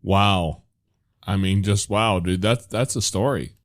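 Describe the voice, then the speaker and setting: Low-voiced podcast host. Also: male voice, slow pace, a little hiss or hum. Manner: relaxed, rambling, self-correcting. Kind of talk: casual chat.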